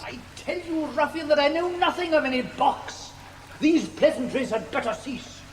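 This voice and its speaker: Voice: sits high, normal speed, close to an everyday voice. A performer playing a dignified captive bishop in an audio drama, formal and indignant. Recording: long reverb, faint noise.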